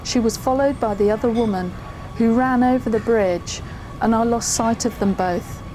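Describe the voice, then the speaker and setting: An actress playing a woman who narrates events she witnessed, in measured, suspenseful audio drama style. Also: on the high side, noisy background, natural voice. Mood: suspenseful narration. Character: female storyteller recalling a tense witnessed drama. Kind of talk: scripted first-person drama narration.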